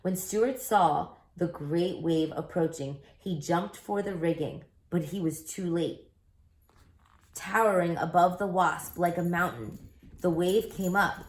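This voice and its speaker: A woman reading a children's book aloud as an expressive storyteller, recorded in an echoey room. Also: lowish voice.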